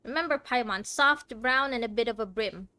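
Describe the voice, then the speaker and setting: A woman's voice: fairly high pitch, moderate pace, pushed and bright, clear, resonant tone. A woman giving casual, rambling live commentary as she plays a video game. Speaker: game streamer.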